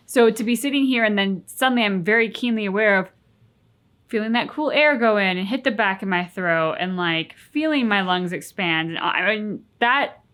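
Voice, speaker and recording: A woman's voice: mid-range voice, moderate pace, fairly bright tone. A woman co-hosting a casual reaction chat, talking reflectively and with emphasis on key words. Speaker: reaction channel co-host.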